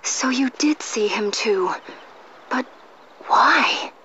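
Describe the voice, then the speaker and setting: A woman voicing a proud, grieving young exiled princess in a fantasy game, regal and deliberate. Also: on the high side, expressive, bright, forceful tone, a little hiss or hum, exaggerated voice. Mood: subdued, wistful. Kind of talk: dramatic game voice acting. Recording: dull lo-fi game audio over music.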